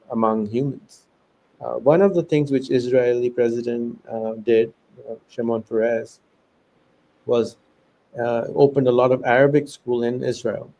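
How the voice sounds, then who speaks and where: A man's voice: mid-range voice, dark tone, dull audio. A man with an accent leaning American, earnestly explaining his ideas in a casual interview, listing examples with restarts and fillers. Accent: non-native English, American-leaning. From Pakistan. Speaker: podcast guest, education founder.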